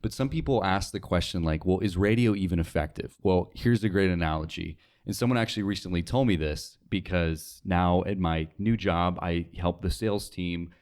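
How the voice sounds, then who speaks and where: A young man from North Dakota speaks as a guest in an interview. His voice is low, and his style is casual and conversational. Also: normal speed, few pauses, darkish tone. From North Dakota, United States. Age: young adult. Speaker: interview guest, radio sales worker.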